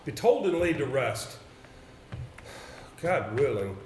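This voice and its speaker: A man on a theater stage acting a nervous, earnest gentleman who recites awkwardly, with a hesitant, apologetic and formal manner. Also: medium pitch, big pitch swings, takes it slowly. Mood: flustered, earnest. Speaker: stage actor in an amateur two-man play. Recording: roomy theater stage recording, background noise.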